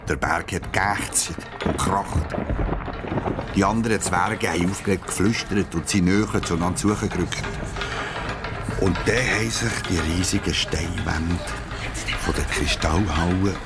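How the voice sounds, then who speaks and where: A man with a Swiss German accent narrating a children's radio play as an expressive storyteller. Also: low-pitched, measured pace, over loud music.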